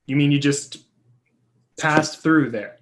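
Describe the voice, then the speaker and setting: A man acts out a cautious, buttoned-up screenwriter in a stage drama. His voice sits a little high for a man. He is polite but guarded and hesitant, with exasperation close beneath. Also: takes it slowly, fairly bright tone, a little hiss or hum. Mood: puzzled. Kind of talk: play reading, stage drama dialogue.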